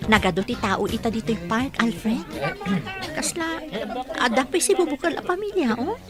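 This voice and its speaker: A woman's voice: on the high side, expressive, normal speed, loud music behind the voice, close to an everyday voice. An actress playing a playful, affectionate young girlfriend who teases and giggles, with crowd noise behind her. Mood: teasing. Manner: teasing, giggly. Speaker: radio drama actor. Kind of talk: radio drama acting. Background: park crowd.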